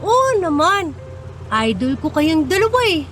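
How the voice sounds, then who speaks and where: A woman's voice: extremely high pitch, very animated, unhurried, a bit bright, a little hiss or hum, heavily acted. A woman playing a sweet, affectionate little boy who admires his parents, in a Filipino radio drama. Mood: eager, affectionate. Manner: childlike, affectionate.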